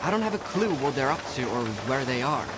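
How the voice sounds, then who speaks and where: An actor playing a worried, blunt stalker fighter in a video game drama. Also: male voice, medium pitch, animated, fairly quick, close to an everyday voice. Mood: grim, resolved. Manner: blunt, swearing, urgent. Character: gritty, worried male stalker fighter in a post-apocalyptic zone. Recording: loud rain and ambient noise.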